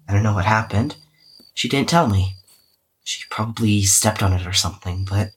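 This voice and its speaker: A man's voice: lowish voice, fairly bright tone, slightly rough. An actor playing a weary, self-effacing young man who hesitantly records a wry, cynical audio diary in a drama podcast. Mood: subdued. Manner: hesitant, musing, wryly cynical.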